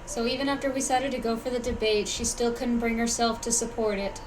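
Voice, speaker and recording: A woman voicing a calm, kind, level-headed young female traveler in a video game, speaking in a measured way. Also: fairly high pitch, recorded from a distance, faint music behind, natural voice, rough recording. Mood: calm concern.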